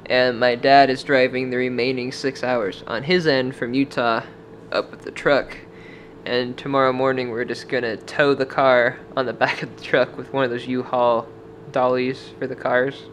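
A man's voice: mid-range voice, flat delivery, moderate pace, fairly bright tone, a little hiss or hum. Chatty young man vlogging from a motel. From Washington state, US. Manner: chatty, rambling storytelling with many "like" fillers. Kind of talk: casual travel vlog with voice-over. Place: dim motel room, indoors. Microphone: camera held at arm's length.

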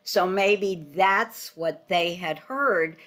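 A woman's voice: lowish voice, extremely slow, a bit bright. An older woman whose first language is Hungarian, interviewing a guest over a video call from her home. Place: home living room. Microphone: laptop webcam video call.

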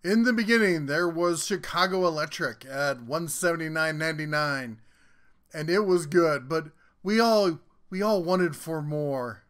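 Man, folksy tool channel host, upbeat and jokey, fairly high voice, casual voice-over. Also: a little slow, fairly bright tone, faint music behind. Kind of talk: casual product rundown.

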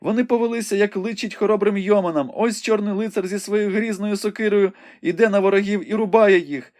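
A man's voice: high-pitched, fairly even intonation, fairly quick, darkish tone, pitched above the performer's own voice, lightly acted. A man voicing a young woman who watches a battle from a window and cries out what she sees. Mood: urgent, fervent. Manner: breathless, exclamatory reporting. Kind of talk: audiobook reading.

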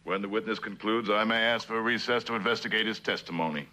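An actor playing a shrewd, skeptical middle-aged district attorney in a 1960s TV drama, speaking formally and pointedly. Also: male voice, lowish voice, quick pace, sharp tone. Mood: objecting. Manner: formal, probing, pointed questioning. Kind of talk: 1960s television courtroom drama acting.

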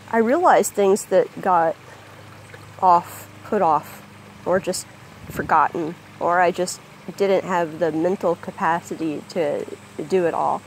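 A vlogger speaks outdoors into a handheld phone in a backyard. The voice is gravelly, with plenty of vocal fry. The talk is loose and rambling, with frequent ums and restarts, over a faint background hiss. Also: mid-range voice, low-quality recording. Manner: rambling, hesitant with many ums. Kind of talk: casual spoken vlog. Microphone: phone held by the speaker.

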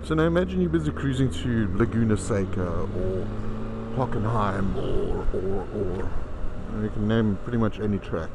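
A man's voice: fairly low pitch, moderate pace, vocal fry. A man riding a motorcycle outdoors, vlogging in a chatty, profane, self-mocking way through a helmet camera. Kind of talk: casual rambling travel vlog. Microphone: helmet-mounted camera. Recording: loud wind and engine noise.

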